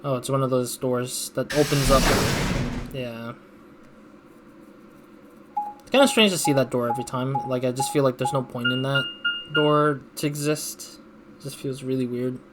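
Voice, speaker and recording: A man hosting a let's play, reading game text aloud and commenting casually as he thinks out loud. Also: mid-range voice, normal speed, long pauses, heavy background noise.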